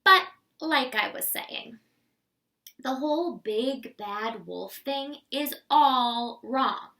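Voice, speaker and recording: A woman voicing a chatty male wolf who makes excuses and confides in listeners, in an animated children's storybook read-aloud. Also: sits high, extremely slow, vocal fry, distant mic, exaggerated voice. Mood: confiding. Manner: confiding, addresses listeners directly.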